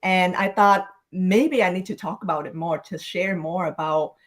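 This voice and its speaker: A woman's voice: fairly low pitch, moderate pace. A woman from Vietnam, a podcast guest on a video call, telling her life story in a reflective way and laughing easily. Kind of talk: casual storytelling interview.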